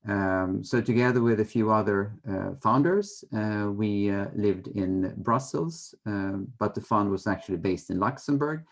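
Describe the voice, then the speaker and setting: A middle-aged man with a Swedish accent answers interview questions in a somewhat low voice. He explains things conversationally, in a measured and slightly hesitant way. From Sweden. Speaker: interview guest, fund manager.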